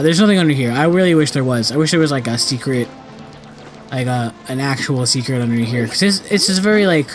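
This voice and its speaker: A man giving casual, rambling, sweary let's play commentary on a video game. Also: medium pitch.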